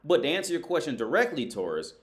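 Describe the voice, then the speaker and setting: A man's voice: fairly high pitch, fast. A man from the United States hosting a casual call-in livestream, talking loosely with lots of swearing and laughter. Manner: loose, profane, laughing, animated.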